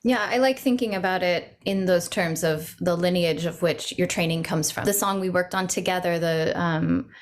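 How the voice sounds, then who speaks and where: A soprano interviewing a composer in casual, thoughtful chat with frequent ums. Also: female voice, fairly low pitch, moderate pace, few pauses.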